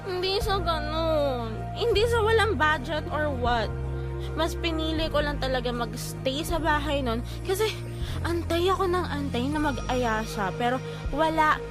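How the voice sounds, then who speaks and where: A woman's voice: sits high, lively intonation, a little slow. A young woman playing a hurt, lovelorn girlfriend venting to friends in an audio drama. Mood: dejected, defensive. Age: young adult. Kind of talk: casual conversational audio drama acting. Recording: loud music under the voice.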